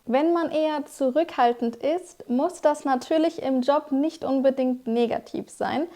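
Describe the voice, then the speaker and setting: A young woman with a high-pitched voice gives a scripted language lesson. She explains each word clearly and speaks directly to her viewers, as a teacher would. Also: measured pace, warm tone. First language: German. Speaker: German teacher.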